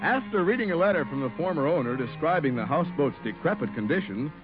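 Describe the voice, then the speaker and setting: A man's voice: on the high side, expressive, fast talker, highs cut off, over music. A man announcing in a polished 1940s radio style, reading scripted sales copy and narration. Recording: thin, band-limited old radio recording.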